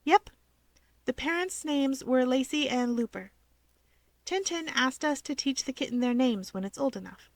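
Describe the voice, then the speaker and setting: Storybook young wizard girl, voiced by a woman reader: higher-pitched, perky, earnest, playful and quick with a tease. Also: expressive. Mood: explaining. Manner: playful, quick-witted, encouraging. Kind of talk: fan fiction character reading.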